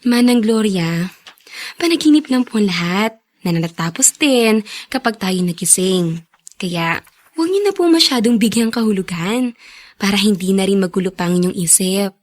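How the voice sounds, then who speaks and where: A woman playing an earnest, caring young farm worker in a radio drama, with a Filipino accent and an expressive delivery. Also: medium pitch, moderate pace, natural voice. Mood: concerned. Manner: emotional, expressive. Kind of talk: Tagalog radio drama acting.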